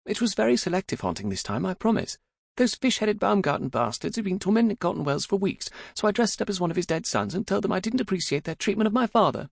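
A man's voice: high-pitched, big pitch swings, fast talker, creaky, no room sound, clean studio sound, voice pushed up, exaggerated voice. A man voicing a spirited, mischievous young woman of twenty in an audiobook, playfully defiant and theatrical. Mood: defiant, proud. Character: spirited, impudent twenty-year-old daughter, mischievous tomboy.

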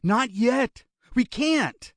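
A man's voice: high-pitched, unhurried, dry recording, clean studio sound, pitched above the performer's own voice. A man voicing an anxious criminal, urgent and pleading, in an audiobook thriller. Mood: panicked.

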